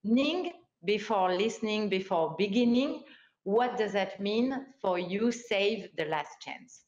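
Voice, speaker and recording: A woman hosts an online forum conversation in an eager, unhurried way. Her English has non-native phrasing. The sound is roomy and dull, like a lo-fi call. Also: medium pitch, animated, darkish tone. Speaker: host.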